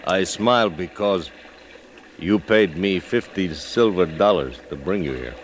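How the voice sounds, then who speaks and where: A man playing a cold, treacherous frontier guide in an old-time radio western, speaking terse, deliberate broken English. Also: low voice, a little hiss or hum. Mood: coldly sly.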